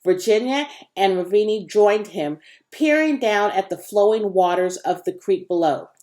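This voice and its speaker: A woman reading a children's book aloud in an expressive narrating style. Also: medium pitch, normal speed. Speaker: storybook reader.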